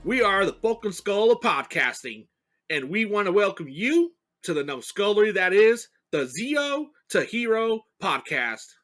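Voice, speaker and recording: A man's voice: high-pitched, big pitch swings, a little slow, a bit bright. A man reading a scripted podcast introduction as its host. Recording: music under the voice. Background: faint music.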